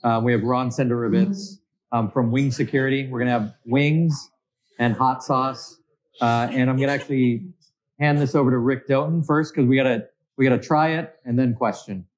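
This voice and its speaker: A man hosting a panel session, plain-spoken and relaxed, introducing guests and asking long prepared questions. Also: mid-range voice, moderate pace. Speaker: host of the session.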